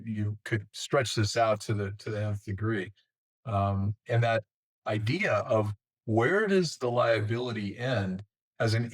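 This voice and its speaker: A man hosting an interview, asking long, deliberate questions with pauses between phrases. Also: fairly low pitch, rough recording. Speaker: interview host.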